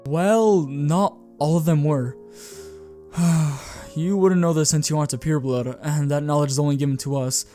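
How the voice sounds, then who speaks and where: A man's voice: fairly high pitch, fairly even intonation, normal speed, dark tone, faint music behind, close to an everyday voice. A man playing a proud young pureblood vampire heir in a scripted roleplay, earnest and formal. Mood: serious.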